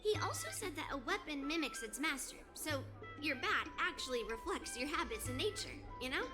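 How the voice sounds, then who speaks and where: A woman's voice: sits high, animated, fairly quick. A woman voicing a confident, blunt young swordswoman in a video game, speaking in a self-assured, matter-of-fact way. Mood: intrigued. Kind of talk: video game character dialogue. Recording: game music behind the voice. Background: game music.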